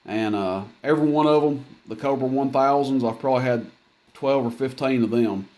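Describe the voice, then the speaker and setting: A man's voice: medium pitch, normal speed, a little mellow. A man giving a casual hands-on equipment demonstration in a plainspoken, folksy, matter-of-fact way. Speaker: CB radio seller demonstrating equipment.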